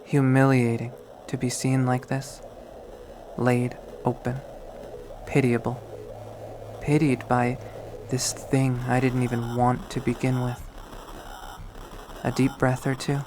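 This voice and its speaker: Brief: male narrator, unhurried, brooding readthrough, light background hum. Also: medium pitch, level tone. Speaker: narrator reading a visual novel. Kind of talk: slow dramatic readthrough narration.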